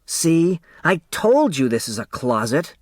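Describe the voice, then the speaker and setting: A man's voice: on the high side, big pitch swings, unhurried, no room sound, clean studio sound, voice pushed up. A man voicing a sulky, defiant young girl in a storybook, with a blunt, complaining manner.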